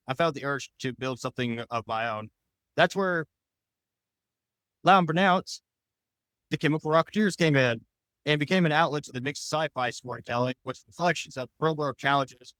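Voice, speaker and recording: A man's voice: mid-range voice, fairly bright tone, clean tone, dry recording, low-quality recording. A man giving casual, rambling interview answers as a podcast guest, in loosely phrased explanations. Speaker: podcast guest, audio drama creator.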